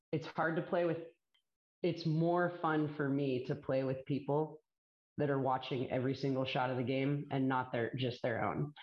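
A woman's voice: deep voice, darkish tone. Chatty bubbly woman on an echoey line. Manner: chatty, bubbly, laughs often. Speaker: guest, disc golf player and commentator. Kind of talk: casual interview chat. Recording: echoey, dull lo-fi call audio.

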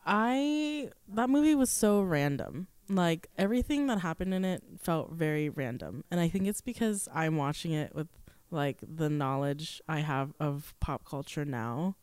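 A woman's voice: low voice, expressive, measured pace, a little mellow, clear, resonant tone, no echo. A woman co-hosting a podcast at home, sounding stuffy as she gets over a sore throat, chatting casually with giggles and teasing. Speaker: podcast co-host. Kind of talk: casual chat. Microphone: shared handheld mic.

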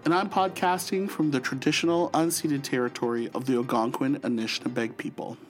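A woman's voice: extremely low pitch, fairly even intonation, a little fast, soft background music. A woman from Ontario, Canada, co-hosting a podcast and welcoming a guest with brief, friendly prompts. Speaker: podcast co-host. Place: remote podcast recording.

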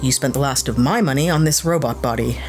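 A woman's voice: very deep voice, fast, a little mellow, soft background music. A woman playing an irritable, sarcastic, distrustful spaceship owner in a sci-fi audio drama, with a blunt, snappish way of talking. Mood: angry, accusing.

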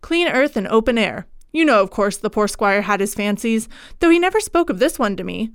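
A woman voicing a male country clergyman in a storybook reading, formal, brisk and authoritative. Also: a little high, expressive, smooth, no room sound, studio quality. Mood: grave, businesslike.

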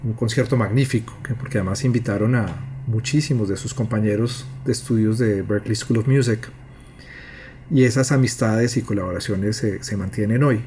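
A man's voice: on the low side, moderate pace, a little mellow, a little hiss or hum. A man hosting an interview, asking long, winding questions. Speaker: interviewer and host.

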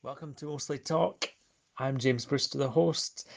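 A man introducing his podcast episode in a relaxed, casual way. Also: medium pitch, unhurried, vocal fry, faint music behind. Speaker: podcast host.

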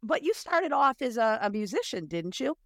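A woman hosting a podcast, hoarse from illness, talking with enthusiasm. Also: on the high side, big pitch swings, normal speed, no echo, clean studio sound. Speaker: podcast host. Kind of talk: casual interview chat.

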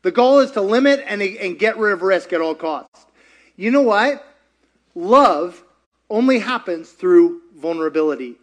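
A man's voice: sits high, fairly bright tone. A man, a pastor, teaching in a sermon style, repeating lines for emphasis and questioning the audience.

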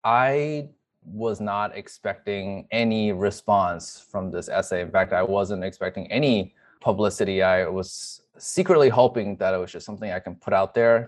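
A man speaks in a fairly low register. He gives a personal, conversational account, as a guest in an interview does.

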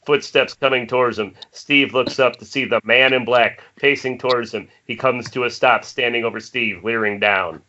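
A man's voice: medium pitch, steady tone, normal speed, hardly any pauses, pushed and bright. A man reading stage directions as scripted narration at an amateur table read over an internet call. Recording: dull lo-fi Skype call, faint noise.

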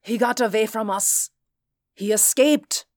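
A man's voice: high-pitched, a little slow, no echo, studio quality, voice pushed up. A man voicing an agitated, demanding security chief in an audiobook story. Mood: agitated, urgent. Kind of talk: audiobook character dialogue.

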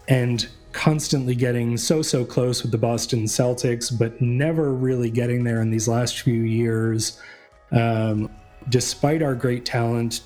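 A man's voice: mid-range voice, a little mellow. A young adult man from New England hosting a solo sports podcast, talking in a rambling, chatty way with many fillers and restarts. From New England, USA. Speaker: podcast host.

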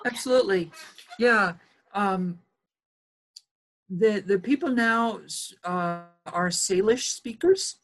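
A woman archaeologist as an interview guest, explaining her research in a measured way with some hesitations. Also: mid-range voice, expressive. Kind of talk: expert interview answers.

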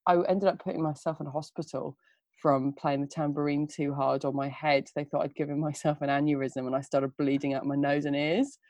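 A woman's voice: low voice, flat delivery, a little fast, a little mellow, no room sound. A young woman chatting casually on a podcast, bubbly and self-mocking, often laughing at herself.

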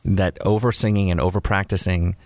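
Deep-voiced male host, easygoing and affirming, dry studio sound. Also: steady tone, fairly quick, darkish tone, dry recording. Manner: agreeable, frequent affirmations. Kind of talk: casual interview chat.

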